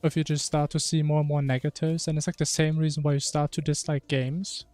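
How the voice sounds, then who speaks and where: Guy streaming a game, kinda higher voice, rambly casual musing, bit of music behind him. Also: flat delivery, fast, a little mellow, no echo. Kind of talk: casual chat while gaming.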